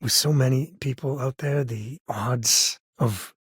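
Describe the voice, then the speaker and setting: A man's voice: medium pitch, takes it slowly, fairly bright tone, breathy edge, dry recording, exaggerated voice. Game role: rugged space ranger and protective father. Folksy, easygoing, wry, with natural hesitations. Dry studio sound. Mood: warm, hesitant. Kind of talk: video game character dialogue.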